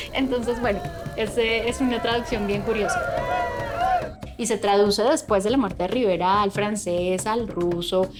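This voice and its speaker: An expert woman explains her subject in an interview, keeping the talk lively and asking the odd rhetorical question. Her pitch sits in the middle of the range, and clear music plays underneath. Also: measured pace.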